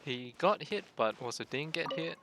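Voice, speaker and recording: A man's voice: on the high side, expressive, normal speed, vocal fry. A man giving casual, offhand gameplay commentary as a streamer, thinking aloud while he plays. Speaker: game streamer. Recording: loud game music behind voice. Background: loud game music.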